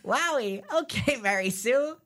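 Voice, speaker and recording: A loud, goofy male cartoon skeleton, put on by a woman. He is exuberant and booms out exclamations with a raised, swooping pitch. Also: expressive, a little slow, a little hiss or hum. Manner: booming exclamations.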